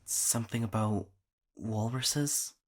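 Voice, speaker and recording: Weary young guy, slow, husky, subdued, awkward, audio drama. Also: lowish voice. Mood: wary.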